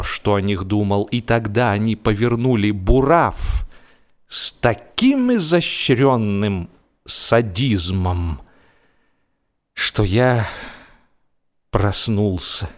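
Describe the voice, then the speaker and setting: A man narrating a literary story in an expressive, dramatic radio style, telling it in the first person with deliberate pauses. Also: fairly low pitch. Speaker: audiobook narrator, actor. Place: radio studio. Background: music.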